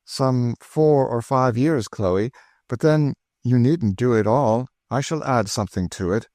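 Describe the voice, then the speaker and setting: A man voicing a kind, composed mistress of a household, speaking in a measured, proper way in a storybook reading. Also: medium pitch, mellow, no echo, clean studio sound, voice pushed up, lightly acted. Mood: gentle.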